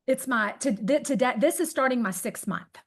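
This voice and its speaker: An energetic woman host with a fairly high voice speaks effusively in a casual live chat. She introduces and questions her guest in an informal, enthusiastic way. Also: normal speed.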